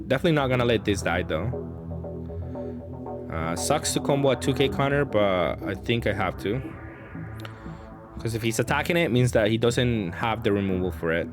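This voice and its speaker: A man with a fairly low voice commentates his own card games in a casual, unscripted way. He reasons aloud as he goes and is self-deprecating about his choices. Music plays clearly behind him. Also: expressive, normal speed, pauses often. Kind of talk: casual off-the-cuff gameplay commentary.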